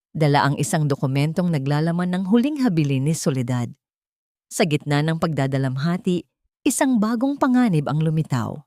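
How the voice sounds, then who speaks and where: A woman narrating a dramatic audio story in a measured, scripted way. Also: sits low, mellow, no echo, clean studio sound.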